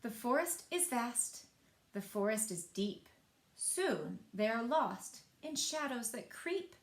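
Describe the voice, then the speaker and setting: Lady doing storytime for kids, high animated voice, takes her time, kinda echoey. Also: slow. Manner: animated, with asides to young listeners. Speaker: library storyteller. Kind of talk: expressive children's picture-book read-aloud. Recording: roomy, echoing sound.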